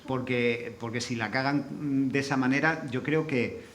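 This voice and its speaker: A man's voice: medium pitch, moderate pace, a bit bright. A man with a Spanish accent from Spain talks on a discussion panel in a colloquial, blunt way, without a script. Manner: colloquial, blunt, mild swearing. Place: live forum venue. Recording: echoey room, faint background noise.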